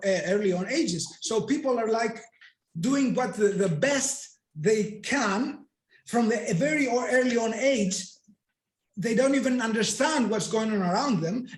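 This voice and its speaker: A man from Greece whose first language is Greek, speaking English with a Greek accent as an interview guest. He talks emphatically and poses rhetorical questions that he answers himself. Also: high voice. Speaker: guest coach and NLP trainer. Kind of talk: explanatory interview talk. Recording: echoey room.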